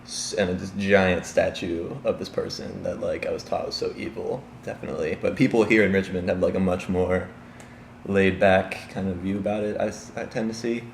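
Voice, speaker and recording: A young man from Chicago answers interview questions with a very low voice. He hesitates and fills pauses often. The recording is roomy, with faint background noise. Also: fairly even intonation, creaky. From Chicago, Illinois. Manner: hesitant, many fillers. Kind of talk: casual street interview.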